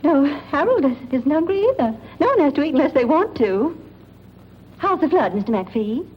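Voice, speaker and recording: A firm, exasperated small-town mother in an old radio thriller. Her voice is fairly high and lively, scolding briskly. The sound is dull and vintage. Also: slight background noise. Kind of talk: 1940s radio thriller acting. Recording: dull vintage 1940s radio recording, music bed.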